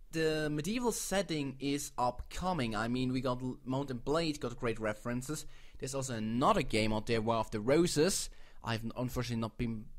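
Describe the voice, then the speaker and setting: A man from Switzerland with a Swiss accent, speaking English as a non-native host in a casual, rambling, playful commentary, often correcting himself. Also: mid-range voice. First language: a language other than English. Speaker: host of a video channel. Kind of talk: casual commentary on game crowdfunding projects.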